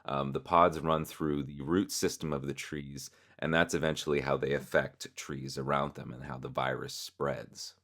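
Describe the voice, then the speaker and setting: A man hosting an explainer, narrating in a measured, explanatory way that is partly scripted. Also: a bass voice, level tone, a bit bright. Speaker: host explaining a horror web series.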